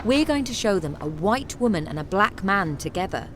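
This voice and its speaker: An actress playing a brisk, decisive female theatre director in a radio drama. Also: medium pitch, sing-song, over loud music, natural voice. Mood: earnest, persuasive. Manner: commanding, decisive.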